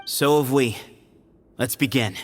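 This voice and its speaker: An actor playing a proud, confident young male duelist in a video game, speaking solemnly and with measured weight. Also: mid-range voice, lightly acted. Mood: calm, sure. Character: Sethos, proud young man, leader's grandson, confident duelist. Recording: loud music behind the voice. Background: game music.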